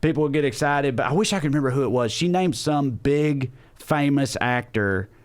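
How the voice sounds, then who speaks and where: A man's voice: mid-range voice, normal speed. Man, Southern US accent, casual storyteller, sweary, meandering conversational delivery, mid-range voice. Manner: rambling, conversational, swears freely. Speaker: podcast co-host and comedian. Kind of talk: casual profane chat and storytelling.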